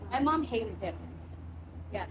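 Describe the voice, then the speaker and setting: A young woman from Samoa tells her story in an animated, casual way, swears freely and acts out the voices of others. Her voice sits a little high. Steady noise hangs behind her in the room. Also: a little slow. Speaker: guest.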